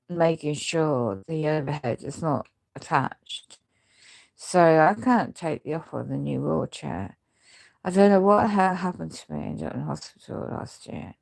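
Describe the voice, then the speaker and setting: A woman speaks in a very low, crackly voice, thick with a chest infection. Her delivery is slow and halting, short of breath, as if talking is an effort. She rambles through an aggrieved personal account in broken phrases. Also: creaky, darkish tone. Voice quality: crackly, deep voice. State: ill with a lung infection, breathless, struggling to speak. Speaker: housing tenant speaking out. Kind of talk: rambling personal video testimony.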